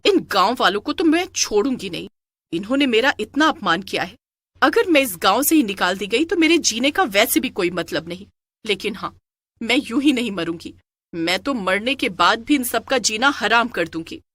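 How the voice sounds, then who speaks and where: Woman playing a sharp, spiteful village woman. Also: on the high side, sing-song, normal speed, no echo. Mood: bitter, vowing revenge. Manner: defiant, sarcastic. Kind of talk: melodramatic storybook character voice.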